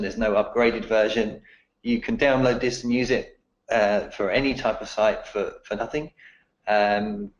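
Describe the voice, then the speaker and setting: A man with a croaky voice answering technical questions in a webinar, explaining in a steady way. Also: lowish voice, roomy sound, muffled recording.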